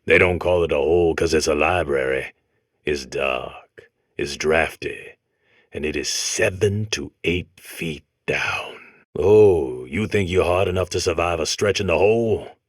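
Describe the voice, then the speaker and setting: A man's voice: extremely low pitch, a little slow, no room sound, clean studio sound. An American man voicing a comic game robot that talks like a grizzled old convict, lecturing in folksy, tough prison slang. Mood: tough, mocking. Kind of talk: comic game character monologue.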